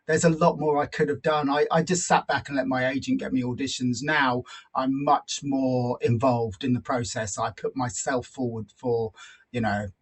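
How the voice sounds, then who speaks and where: A man's voice: medium pitch, steady tone, normal speed, slightly rough. A man in his forties giving reflective, self-deprecating answers as a guest in a conversational interview. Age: 40s. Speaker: guest, actor and filmmaker.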